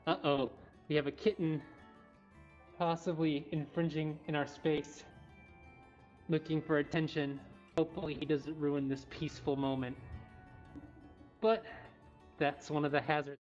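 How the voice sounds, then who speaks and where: A woman's voice: deep voice, flat delivery, measured pace, long pauses, darkish tone. A woman hosting a casual livestream, chatting informally and speaking affectionately to animals. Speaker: cat cafe livestream host. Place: indoors in a cat cafe. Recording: dull livestream audio, music behind.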